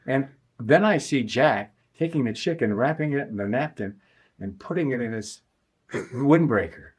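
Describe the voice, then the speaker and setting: Animated older American man telling stories. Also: mid-range voice, moderate pace, breathy edge. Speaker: interviewee telling anecdotes. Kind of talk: casual storytelling.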